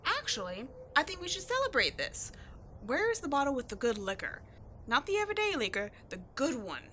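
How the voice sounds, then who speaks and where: A brash tomboy adventurer acted by a woman speaks in a high, bright voice. She is sarcastic, cocky and quick to get defensive, with slangy, exasperated delivery in the manner of an audio roleplay. Clear ambient noise sits behind her. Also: big pitch swings, exaggerated voice. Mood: smug, breezy. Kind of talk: scripted ASMR-style audio roleplay, one-sided dialogue.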